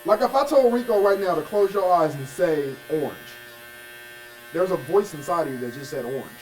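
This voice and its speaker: A man hosting a casual podcast, talking emphatically and profanely and often agreeing with a quick "facts". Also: a little high, expressive, darkish tone. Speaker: podcast host. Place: barbershop. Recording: distant mic with electric shaver hum.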